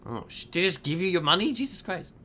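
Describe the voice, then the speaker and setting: A man talking casually in character in an online roleplay game, halting and repeating phrases for emphasis. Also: sits high, very animated, pushed and bright.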